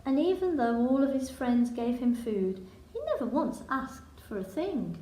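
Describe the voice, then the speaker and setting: A woman reading a children's picture book in verse with a measured, dramatic storytelling delivery. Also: sits high, big pitch swings, warm tone, slight background noise. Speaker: storybook reader. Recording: echoey room.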